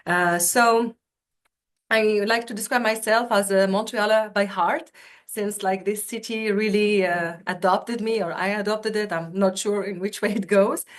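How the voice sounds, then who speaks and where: A woman's voice: medium pitch, steady tone, unhurried. Chatty woman presenting over video call. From Tunisia. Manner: chatty, many fillers and restarts. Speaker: university student recruitment officer. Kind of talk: webinar presentation.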